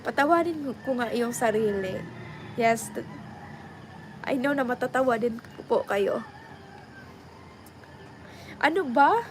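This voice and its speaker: A woman's voice: a little high, animated, unhurried, pauses often, noisy background. A young adult woman with a Filipino accent, chatting casually to her viewers and switching between English and Tagalog. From Philippines. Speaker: vlogger.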